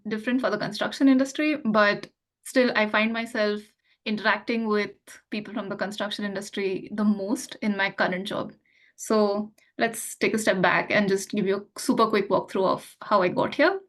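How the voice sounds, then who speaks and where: A young woman from India talks as a podcast guest, earnest and reflective, often pausing on small fillers. Her voice sits at an ordinary middle pitch for a woman. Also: fairly even intonation, a bit bright. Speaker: podcast guest, finance professional. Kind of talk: casual interview chat.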